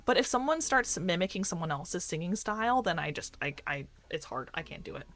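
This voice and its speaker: A young woman who streams and works as a doctor, chatting casually with a fairly deep voice and a rambling, filler-heavy way of talking. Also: lively intonation, fairly quick, fairly bright tone. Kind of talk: casual chat with live chat readers. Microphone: desk microphone.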